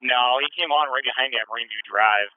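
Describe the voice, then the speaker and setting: A man's voice: mid-range voice, quick pace, clear, resonant tone, no room sound. Male trooper on tinny police radio. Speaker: state trooper in pursuit. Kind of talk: police radio traffic, short call-outs. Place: patrol car radio, heard on scanner.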